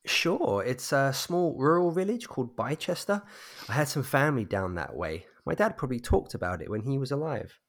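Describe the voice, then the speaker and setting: A man's voice: medium pitch, big pitch swings, moderate pace. Man as hesitant, weary grieving husband. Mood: polite, guarded. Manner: hesitant, polite. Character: weary, anxious, politely evasive bereaved husband. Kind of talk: audio drama acting.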